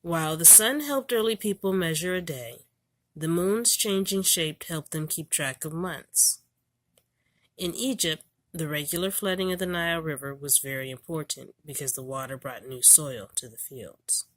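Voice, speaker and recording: A woman reading an educational children's book aloud in a deliberate, storybook manner. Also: low-pitched, dry recording.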